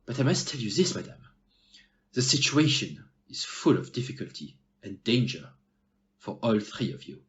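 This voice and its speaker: A man performs a shrewd, courteous detective in an audio drama. His speech is formal and pointed, with a lively, swinging melody. The recording is a little dull and muffled. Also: lowish voice. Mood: firm, grave. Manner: formal, measured, pointed phrasing. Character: polite, shrewd, blunt male Belgian detective. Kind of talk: amateur audio play acting.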